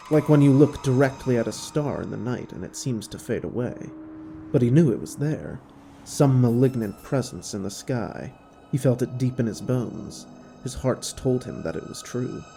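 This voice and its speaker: Mellow man narrating with very lively pitch. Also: creaky. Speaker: narrator of an audio drama. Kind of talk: scripted story narration.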